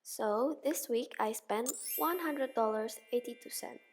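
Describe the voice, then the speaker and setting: A woman's voice: a little high, unhurried, slightly rough. Young woman reading a video journal. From Indonesia. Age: young adult. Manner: plain, careful reading with a few slips of grammar. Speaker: student. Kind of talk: read-aloud video journal narration.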